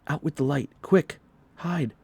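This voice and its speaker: Man doing an excitable little boy from a storybook, high voice, bossy and keen. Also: unhurried, vocal fry, slightly rough, no echo, heavily acted. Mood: urgent, commanding. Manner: excitable, exclaiming. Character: eager, bossy, practical young boy.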